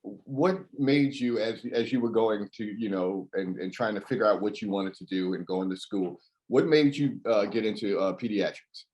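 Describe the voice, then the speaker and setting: A man's voice: fairly low pitch, moderate pace, few pauses, a little mellow. A man hosting an interview show, chatty and friendly, laughing often. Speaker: host.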